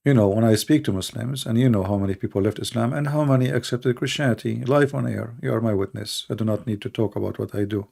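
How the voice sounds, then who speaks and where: Arabic-accented man, gravelly and dark. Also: medium pitch. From Middle East. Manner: halting, repetitive phrasing with frequent fillers; blunt and emphatic. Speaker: debate show host. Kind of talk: live-stream chat answering viewer questions.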